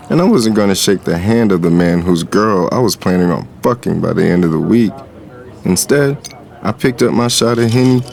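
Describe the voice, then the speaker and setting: Actor as a low-voiced cocky streetwise man. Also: a little mellow, lightly acted. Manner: confident, sly, casual. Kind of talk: first-person dramatized story narration.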